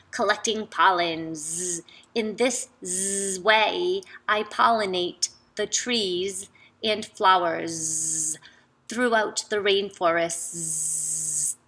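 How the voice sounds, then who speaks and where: An earnest storybook bee that buzzes through every phrase, as a woman reads it aloud. The voice drags along at a very slow pace, yet stays bright in tone. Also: fairly low pitch, pitched below the performer's own voice, full character voice. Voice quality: buzzing "zzz" sounds. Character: buzzing male bee, earnest and instructive.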